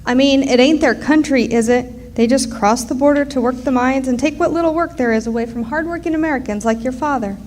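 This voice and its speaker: A woman's voice: high voice, a little fast, hardly any pauses, darkish tone, lightly acted. A woman playing an opinionated working-class miner's wife and mother in a radio drama, with folksy speech. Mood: indignant. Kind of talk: live original radio drama. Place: live performance in a resort hall. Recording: live stage, faint background noise.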